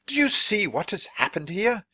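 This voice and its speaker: Guy doing kindly old-fashioned gentleman author, big emphatic speechifying, voice leaps up and down, storybook style. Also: high-pitched, big pitch swings, normal speed, voice pushed up, full character voice. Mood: indignant. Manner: grand, emphatic oratory. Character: kindly, indignant English gentleman author, theatrical and warm-hearted.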